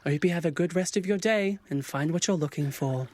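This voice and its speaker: Guy doing a polite strained swan, talks himself calm, kinda high voice, radio play. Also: lightly acted. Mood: politely strained. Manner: measured, restrained, self-coaching. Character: grown-up ugly duckling swan, polite, strained, self-soothing. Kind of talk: audio fiction acting.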